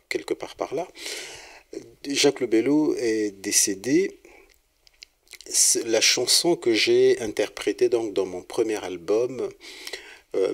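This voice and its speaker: A middle-aged man from Congo, a singer-songwriter being interviewed, speaking in a relaxed, hesitant way with many fillers and restarts. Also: mid-range voice.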